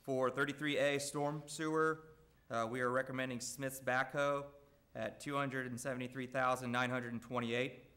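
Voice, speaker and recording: A man from a construction company presenting bid recommendations at a school board meeting, speaking loudly and steadily with frequent fillers. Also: medium pitch, normal speed. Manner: loud, steady, even delivery with frequent "uh".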